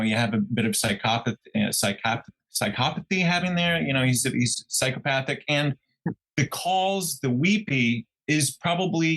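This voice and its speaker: A man co-hosting a true crime podcast, talking casually in a halting, musing way as he thinks out loud. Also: medium pitch, rough recording. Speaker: podcast co-host.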